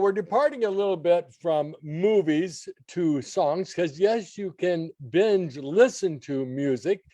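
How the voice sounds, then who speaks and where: A man with a fairly high but slightly mellow voice, recorded dry and close. He chats casually on the radio as a guest reviewer, conversational and opinionated. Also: no echo.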